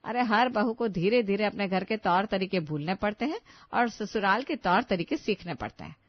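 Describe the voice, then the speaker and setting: A woman's voice: mid-range voice, expressive, moderate pace, no echo, natural voice. Woman doing older mother in law, kind and protective, storybook tale, bit lo-fi. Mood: soothing. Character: kindly older Indian mother-in-law, gently instructing, protective.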